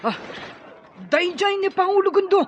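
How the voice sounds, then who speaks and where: A woman's voice: very high voice, moderate pace, lo-fi sound, a faint crowd, slight background noise. A woman playing a loyal young tribeswoman warrior in an Ilocano-accented radio drama, speaking earnestly and dramatically. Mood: protective. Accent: Filipino, Ilocano.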